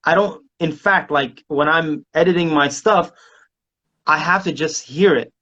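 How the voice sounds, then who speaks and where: A man's voice: a little high, unhurried, sharp tone, muffled recording. A man from Somalia, a music producer chatting casually as a guest and rambling with many restarts and laughs. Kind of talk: casual interview chat.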